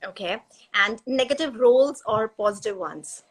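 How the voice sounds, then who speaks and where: A woman's voice: a little high, lively intonation, moderate pace, husky, poor audio. A woman hosting a casual live video-call chat, asking brief questions. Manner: brief interviewer acknowledgments and questions. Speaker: host.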